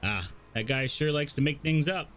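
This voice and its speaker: A man's voice: a little high, expressive, moderate pace, a bit bright, a little hiss or hum. A man voicing an easygoing, sly young game character with a casual, knowing manner. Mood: sly, explanatory.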